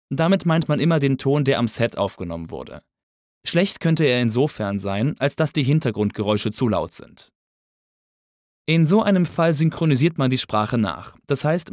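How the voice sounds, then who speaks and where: Dry, lively male tutorial narrator. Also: mid-range voice, dry recording. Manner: clear, explanatory, orderly. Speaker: audio engineering instructor. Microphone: close studio voice-over mic.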